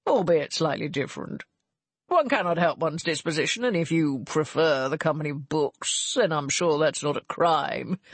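A woman voicing a smooth-talking, flattering Regency gentleman, one of the figures in a read romance story. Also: deep voice, dry recording, exaggerated voice. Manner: suave, fulsome compliments.

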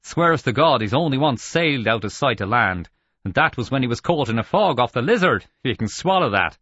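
A man's voice: medium pitch, animated, fairly quick, fairly bright tone, no room sound, highs cut off, voice pushed up. A man voicing a plain-spoken, folksy sailor, a boatswain's mate, in an audiobook sea story. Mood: wry, admiring. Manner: folksy, colloquial.

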